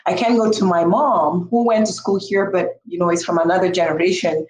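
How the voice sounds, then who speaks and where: A woman's voice: fairly low pitch, brisk, roomy sound, poor audio. A middle-aged woman from Cameroon, telling personal stories expressively in a group discussion. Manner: expressive, acts out quoted speech.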